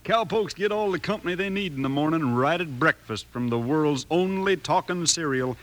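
An actor playing a genial cowpoke with an American Western accent, folksy and friendly, in a 1950s radio advertisement. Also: male voice, a little high, animated, moderate pace, natural voice. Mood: genial, wistful. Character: homesick, genial cowpoke pitching breakfast cereal to kids.

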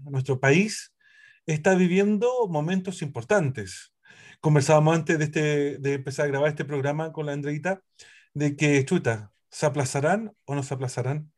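A man with a Chilean accent, a priest hosting a relaxed faith talk show, chatty and prone to trailing off and restarting. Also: a little high. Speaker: priest and host. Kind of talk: casual radio chat.

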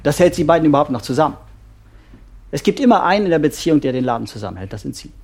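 An actor playing a couples therapist in a German audio drama, speaking deliberately with pauses and giving directions. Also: male voice, on the high side, sing-song, a little mellow. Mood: measured, frank. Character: probing, measured male couples therapist.

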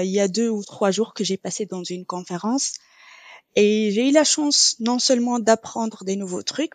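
A young woman speaking French as a non-native, talking as a podcast guest and pausing often on filler sounds. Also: mid-range voice, a little mellow, no room sound. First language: neither French nor English, trilingual. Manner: hesitant, many filler sounds. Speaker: podcast guest, quality coach. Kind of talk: casual interview talk.

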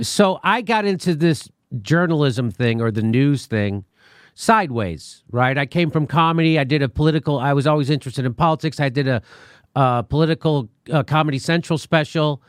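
A man's voice: fairly high pitch, smooth, dry recording, studio quality. A middle-aged man from the United States hosting an interview, talking bluntly and animatedly with some swearing. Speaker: host. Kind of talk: conversational interview.

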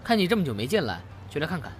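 A man's voice: fairly high pitch, sing-song, very fast, a little hiss or hum. A man playing an earnest, slightly awkward young male friend in an audio drama. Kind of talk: amateur Chinese audio drama acting. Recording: music behind the voice.

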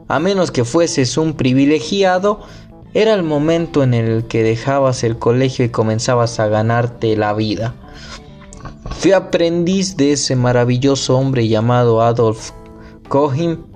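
Slow, careful male reader. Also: mid-range voice, a little mellow. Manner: slow, careful reading with occasional slips and restarts. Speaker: amateur reader. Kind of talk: reading nonfiction aloud.

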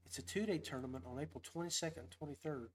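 A man's voice: fairly high pitch, quick pace, slight background noise. A man hosting a casual fishing livestream, talking in a rambling, folksy, upbeat way. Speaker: podcast host.

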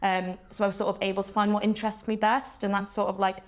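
Flat-voiced young woman. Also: mid-range voice, fast. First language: Welsh. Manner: even, steady, with many "sort of" fillers. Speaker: students' union officer, guest. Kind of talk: casual interview answers.

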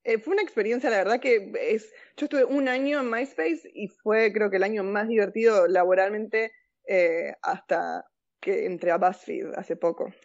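A woman's voice: medium pitch, normal speed, a little mellow, lo-fi sound. A woman with a Rioplatense Argentine accent, chatting casually and telling personal stories as an interview guest, informal and slangy. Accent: Rioplatense Argentine Spanish. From born in Argentina, grew up in several countries. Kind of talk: casual chat, personal anecdotes.

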